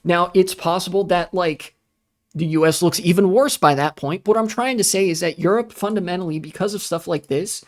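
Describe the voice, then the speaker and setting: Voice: mid-range voice, normal speed. A streamer rants about politics in a loose, casual way. The delivery is sarcastic and full of swearing. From United States. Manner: ranting, profane, sarcastic.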